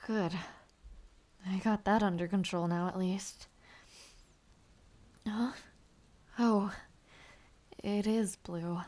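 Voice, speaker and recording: A young woman playing a gentle, shy noblewoman who aspires to knighthood, speaking softly and haltingly in an intimate audio roleplay. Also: mid-range voice, steady tone, lightly acted. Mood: concerned, soft. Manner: soft, hesitant, halting speech.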